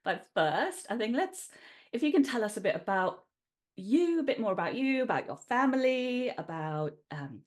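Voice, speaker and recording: Woman host for an interview podcast, gentle and searching, plenty of fillers. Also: a little high, big pitch swings, moderate pace. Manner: warm welcomes, hedging with kind of and um. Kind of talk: podcast intro and interview questions. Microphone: podcast studio mic.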